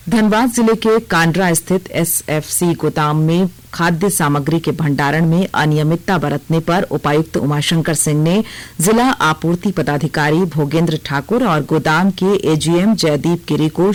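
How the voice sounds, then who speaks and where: A woman with an Indian accent reads a scripted radio news bulletin in a formal, measured way. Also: deep voice. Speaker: radio newsreader.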